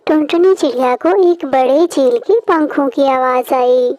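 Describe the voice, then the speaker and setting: Female storyteller for children's tales, high pitch, scripted narration. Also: a little slow, darkish tone.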